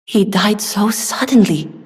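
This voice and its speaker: A young woman voicing a gentle, earnest, polite weapons smith in a video game, soft-spoken and given to sighs. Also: medium pitch, expressive, a little slow, lightly acted. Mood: sad, resigned. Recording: long hall reverb.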